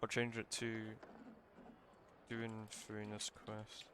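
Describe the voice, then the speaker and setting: A man's voice: on the low side, monotone, slow, fairly bright tone, slight background noise. A man giving casual live commentary as a game streamer, rambling as he thinks aloud. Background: game music.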